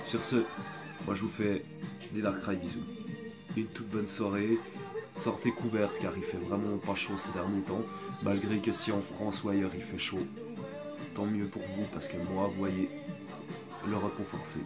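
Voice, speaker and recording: A man's voice: on the low side, little pitch movement. A man with a cold and a sore throat, talking in a hesitant, rambling way in a casual apologetic video, filmed on a phone. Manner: hesitant, rambling, many pauses. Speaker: video creator. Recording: background music playing.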